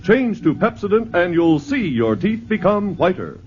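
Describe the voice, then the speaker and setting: A man reading a 1950s radio toothpaste commercial as an emphatic pitchman. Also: sing-song, normal speed, heavy background noise. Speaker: announcer. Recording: dull old radio broadcast.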